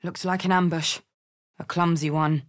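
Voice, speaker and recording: A woman's voice: lowish voice, flat delivery, moderate pace, natural voice. A woman playing a brash, hearty, battle-hardened tiefling warrior woman in a video game, with a blunt, salty way of talking. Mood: gruff, observant. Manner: blunt, salty, coarse-mouthed. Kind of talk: video game character voice lines.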